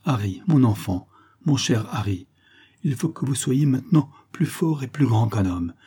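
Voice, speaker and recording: A storybook old nursemaid, acted by a man, kindly and sorrowful. The voice is soft, mellow and husky. The delivery is slow, calm and solemn, full of motherly pity. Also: medium pitch, pitched above the performer's own voice. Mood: grave, sorrowful.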